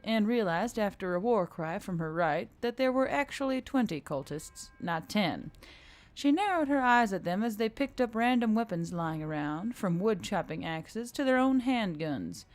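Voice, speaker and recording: A woman reading scripted action-fantasy fiction as an audiobook narrator. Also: mid-range voice.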